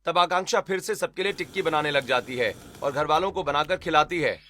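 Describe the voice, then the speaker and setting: A man's voice: on the high side, a little fast, sharp tone. A man narrating a scripted domestic family story in Hindi.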